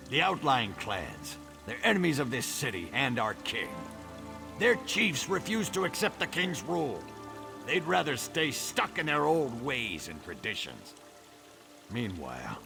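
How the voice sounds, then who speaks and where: An actor playing a shifty orc tonic peddler in a video game, smooth-talking and blustering, self-righteous and quick to defend himself. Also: male voice, mid-range voice, exaggerated voice. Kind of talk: video game character acting.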